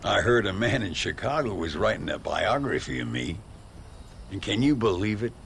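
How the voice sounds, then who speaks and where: An actor playing a boastful, rambling old gunslinger with a Western American accent and a theatrical drawl, in a video game cutscene. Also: male voice, on the low side, big pitch swings, fairly bright tone, a little hiss or hum, heavily acted. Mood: boastful, wistful. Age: older. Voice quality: gravelly, drawling. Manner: theatrical, halting, self-mythologizing.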